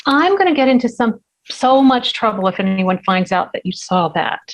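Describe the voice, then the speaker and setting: A woman's voice: fairly high pitch, lively intonation, normal speed, noisy background, natural voice. A woman at a table read playing an eager, nervous woman of twenty-five, on a lo-fi recording. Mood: anxious, scheming. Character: upbeat, anxious 25-year-old woman, new renter. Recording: dull lo-fi sound.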